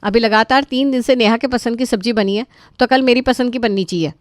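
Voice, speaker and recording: A woman's voice: fairly high pitch, brisk, no room sound, clean studio sound, lightly acted. A woman voicing a defiant, demanding daughter-in-law in a cartoon story, sarcastic and argumentative. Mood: complaining. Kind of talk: animated moral story dialogue.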